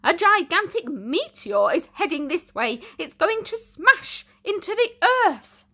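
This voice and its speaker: A woman's voice: extremely high pitch, big pitch swings, a little slow, bright, forceful tone, voice pushed up, full character voice. A woman voicing panicked storybook townsfolk raising the alarm in an urgent, melodramatic, exclamatory way.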